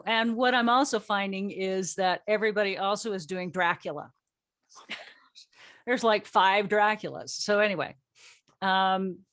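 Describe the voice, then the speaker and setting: A woman's voice: mid-range voice, a bit bright. A woman in her sixties hosting a casual panel chat in a joking, self-deprecating way. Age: 60s. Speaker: host, audio drama writer and director.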